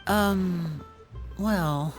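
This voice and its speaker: A woman playing a polite, suave young magician in a video game, with a smooth, courteous and personable manner. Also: fairly low pitch, very slow. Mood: hesitant. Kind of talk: anime-style video game voice acting. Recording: music playing behind the voice.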